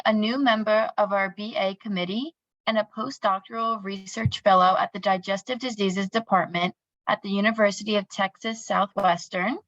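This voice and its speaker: A woman with a medium-pitched voice hosts an online forum and reads out introductions. Her audio is dry, close and a little dull. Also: fairly bright tone, no echo. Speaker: forum host. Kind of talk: scripted introduction of a speaker.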